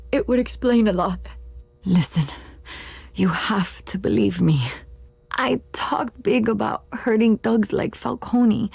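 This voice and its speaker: A woman voicing a drugged, remorseful police sergeant in a video game, husky and halting, slipping into near-whispers. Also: medium pitch, a little mellow, dry recording, heavily acted. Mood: dazed, fearful. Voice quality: husky, breathy.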